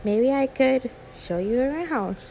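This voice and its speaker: Woman playing a high-voiced, flustered young YouTuber girl. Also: lively intonation, unhurried, gravelly, dark tone, slight background noise, exaggerated voice. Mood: sympathetic. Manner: flustered, stammering, awkward, apologetic. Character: anxious, sweet young female YouTuber who meows nervously. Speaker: ASMR roleplay performer. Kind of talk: one-sided ASMR audio roleplay.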